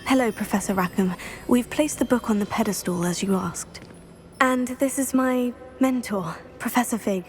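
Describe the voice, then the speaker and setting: An actress plays an earnest teenage witch in a fantasy game. Her voice is fairly high and she speaks politely and articulately over faint music. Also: normal speed, close to an everyday voice. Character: earnest, eager teenage girl student of magic. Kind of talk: scripted game cutscene acting.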